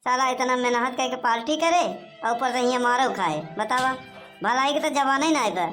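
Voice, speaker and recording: A woman's voice: moderate pace, fairly bright tone, faint music behind. A woman voicing a sly, cheeky young village lad in a cartoon, with an Awadhi accent and a whiny manner. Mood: complaining. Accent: Awadhi, eastern Uttar Pradesh. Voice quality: high-pitched cartoon voice.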